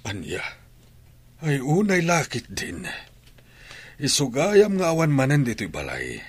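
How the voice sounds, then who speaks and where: Guy playing a troubled middle-aged ilocano dad, indignant, husky and really animated, radio drama style. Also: medium pitch, a little slow, full character voice. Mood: dismayed.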